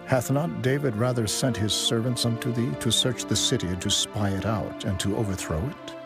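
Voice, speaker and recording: A man's voice: mid-range voice, normal speed, natural voice. A man playing a suspicious, scheming royal adviser in a dramatized scripture reading, pointed and accusing. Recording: music bed under the voice.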